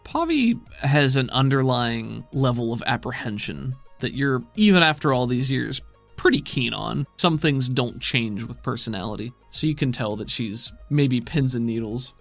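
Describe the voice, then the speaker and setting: A man runs a tabletop game as game master, narrating conversationally. His mid-range voice has plenty of fry, and music plays behind him. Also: mid-range voice, animated, normal speed, a bit bright.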